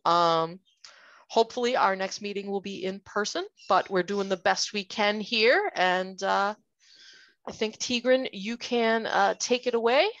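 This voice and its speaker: A woman's voice: medium pitch, unhurried, fairly bright tone, no room sound, muffled recording. A woman hosting an online meeting, introducing the speaker in a friendly way, with frequent fillers. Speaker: meeting host.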